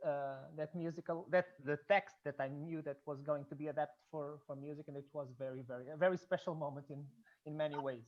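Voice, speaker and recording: A Portuguese-accented speaker, native in Portuguese, talks in a hesitant, halting way during an informal online discussion. The pitch sits in a middle range, and the call audio is muffled and lo-fi. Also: darkish tone. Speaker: audience member, student researching Blake and music.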